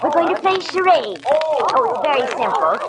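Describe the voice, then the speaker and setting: A woman's voice: high-pitched, animated, normal speed, a loud crowd. A woman playing a chatty, flustered, gushing older mother in a 1940s radio comedy-mystery. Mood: flustered, instructing. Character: fluttery, chatty, sociable older mother hosting a party. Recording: very noisy vintage radio recording.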